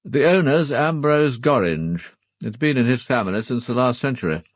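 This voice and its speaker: Clipped, formal British gentleman played by an actor. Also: medium pitch, expressive, normal speed, no room sound, lightly acted. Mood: grave, explaining. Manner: clipped, matter-of-fact, formal. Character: formal upper-class husband, a titled knight hiring a detective. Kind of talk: radio drama acting.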